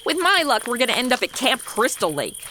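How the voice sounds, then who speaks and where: A woman's voice: a little high, big pitch swings, fairly quick, pushed and bright, a little hiss or hum. An actress playing a sassy, exasperated modern young woman with a blunt, snarky manner in a comedy audio drama. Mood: grumbling.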